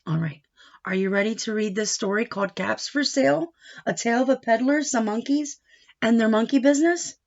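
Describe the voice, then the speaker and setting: A woman giving a read-aloud lesson for young children as a teacher, speaking in short deliberate phrases and asking questions as she goes. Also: on the high side, vocal fry. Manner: instructive, asking questions and answering them; deliberate short phrases, expressive when reading. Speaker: teacher reading a picture book aloud. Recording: dull, lo-fi sound.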